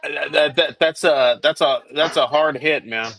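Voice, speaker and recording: A man's voice: a little high, measured pace, a bit bright, faint music behind. A man hosting a live stream in casual chat, sympathetic and often stammering and repeating words.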